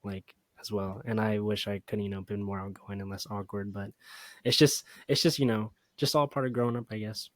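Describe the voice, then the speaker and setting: Low-voiced young man in easygoing chat. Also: steady tone, fairly quick, no echo. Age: young adult. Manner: filler-heavy, reflective, says "you know" a lot. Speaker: podcast co-host. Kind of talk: casual chat.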